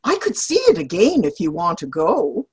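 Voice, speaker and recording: A woman playing a mild, peacemaking older friend in an amateur comedy play reading, gentle and family-minded. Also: lowish voice, wildly swooping pitch, moderate pace, breathy edge, heavily acted. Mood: conciliatory. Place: online video-call play reading.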